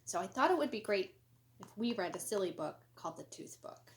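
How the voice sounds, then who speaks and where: A woman reading a children's picture book aloud in an expressive, sing-song way, with short asides to young listeners. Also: mid-range voice, a little hiss or hum. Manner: expressive, sing-song, deliberate storybook delivery. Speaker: elementary school educator reading aloud.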